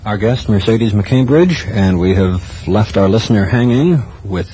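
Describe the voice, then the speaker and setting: A man with a low, dark-toned voice hosting a radio show. He talks casually as interviewer, recorded on old tape with faint noise. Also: animated, measured pace, poor audio.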